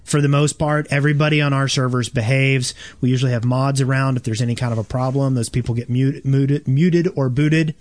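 A man's voice: medium pitch, fairly even intonation, fairly quick, hardly any pauses, no echo. A man co-hosting a casual gaming podcast, often restarting and repeating his phrases as he makes a point. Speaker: podcast co-host.

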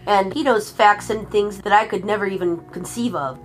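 A woman's voice: mid-range voice, moderate pace, low-quality recording. A woman talking casually as a podcast guest, chatty and agreeable. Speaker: guest, channeler.

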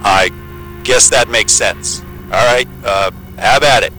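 An uneasy, bright-voiced male pilot. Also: on the low side, slow pace, soft background music, low-quality recording. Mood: concerned. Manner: hesitant, colloquial. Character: plain-spoken, uneasy male pilot, crude but well-meaning. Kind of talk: naturalistic audio drama acting.

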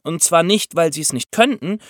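A man's voice: a little high, expressive, moderate pace, a bit bright, no echo, clean studio sound. A man co-hosting a true-crime podcast, explaining things in a conversational way. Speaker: podcast co-host.

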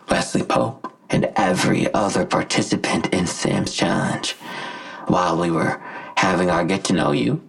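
A man playing a smug, gloating imprisoned villain in an audio drama, slow and mocking, with a gravelly, husky voice. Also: low-pitched, full character voice, rough recording. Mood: taunting, impatient.

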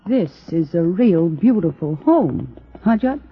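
An actress playing a composed, wry defense attorney with a dry, self-possessed manner in a 1950s radio drama. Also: female voice, lowish voice, big pitch swings, slow, heavily acted. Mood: dry, teasing. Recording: muffled 1950s radio recording.

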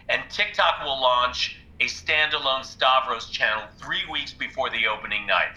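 An actor playing a boastful publicist rattling off press bookings in a comedy. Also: male voice, mid-range voice, sharp tone, poor audio. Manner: salesy, rapid name-dropping. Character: hustling, boastful male show publicist.

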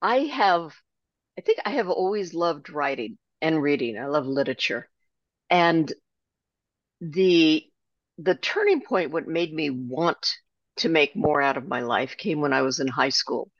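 An older woman, a guest author, reflecting on her life in a relaxed storytelling way with frequent restarts. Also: sits low, unhurried, no room sound, highs cut off. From Germany. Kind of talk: conversational interview.

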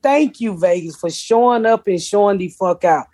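A woman with a deep voice, hosting a podcast in brash, profane, laughing casual chat. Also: normal speed, dark tone. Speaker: podcast host.

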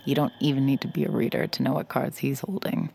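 A woman's voice: very deep voice, steady tone, quick pace, creaky, a little mellow, husky, heavily acted. An actress playing a sly, cocky young woman poker hustler who narrates her con in a wry, confiding way in an audio drama. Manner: wry, confiding, self-assured. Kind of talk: first-person audio drama narration and dialogue.

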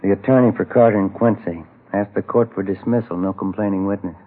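An actor playing a plain-spoken, easygoing police detective in a 1950s radio drama, talking in a clipped, conversational way. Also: male voice, lowish voice, fairly even intonation, brisk, noisy background, natural voice. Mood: concerned, businesslike. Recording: dull old radio recording.

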